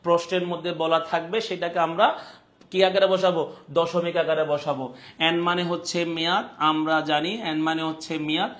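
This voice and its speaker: A man's voice: on the high side, little pitch movement, fairly quick, a bit bright. A man with a Bangladeshi accent teaching a live online class, repeating his points and often checking that students follow.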